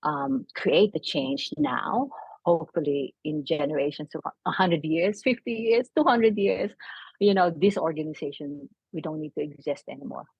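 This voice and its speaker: Low, husky woman with a Filipino accent. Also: animated, normal speed, dry recording. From Philippines. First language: Tagalog. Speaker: podcast guest, non-profit founder. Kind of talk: conversational interview. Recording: dull lo-fi remote call audio.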